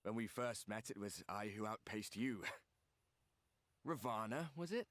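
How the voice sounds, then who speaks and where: An actor playing a courteous, composed warrior in a fantasy game, with a formal, wry manner. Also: male voice, fairly low pitch, expressive, a little slow, a bit bright, no room sound. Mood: wry, polite. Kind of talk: dramatic fantasy video game acting.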